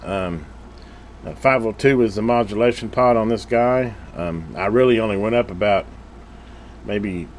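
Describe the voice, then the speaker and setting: A man's voice: fairly low pitch, slight background noise. A man, chatting casually while he reviews a radio at his home ham station. He rambles in an easygoing way, with lots of "uh" fillers. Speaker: radio repair video host. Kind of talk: casual hands-on review chat.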